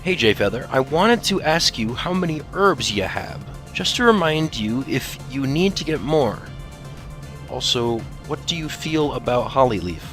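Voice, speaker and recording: A man reading questions as co-host of a fan Q&A in a playful, teasing, animated manner. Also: mid-range voice, normal speed. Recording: faint music behind the voice.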